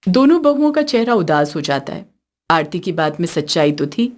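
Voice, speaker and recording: A woman's voice: on the low side, big pitch swings, normal speed. A woman giving scripted narration as the storyteller of a cartoon tale.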